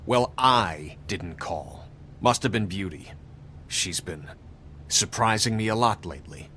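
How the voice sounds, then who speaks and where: An actor playing a suspicious, easily riled husband in a game drama, speaking with sarcasm and indignation. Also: male voice, on the low side, animated, measured pace, pushed and bright, slight background noise. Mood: sullen, sarcastic. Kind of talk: video game drama acting.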